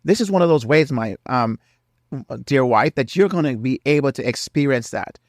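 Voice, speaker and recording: A man's voice: medium pitch, animated, normal speed, dry recording, clean studio sound. A middle-aged man hosting a faith-based marriage podcast, earnest and preacherly, sounding nasal from a cold. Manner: earnest, preacherly, repeats key phrases. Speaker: podcast host.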